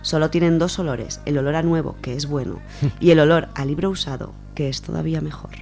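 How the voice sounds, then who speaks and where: A woman's voice: sits low, steady tone, fairly quick, creaky, music in the background. A woman from Spain presenting on radio, giving an informal, semi-prepared account in an explanatory way, restating points as she goes.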